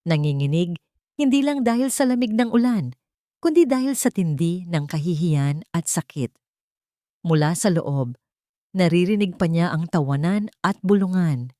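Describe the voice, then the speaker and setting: A woman's voice: on the low side, lively intonation, normal speed, mellow, dry recording, studio quality. A woman reading a dramatic scripted story as its narrator.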